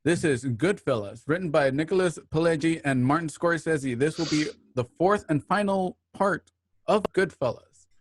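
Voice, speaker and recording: Higher-pitched man hosting a show. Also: normal speed, slight background noise. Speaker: host of online radio drama show. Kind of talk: scripted show introduction.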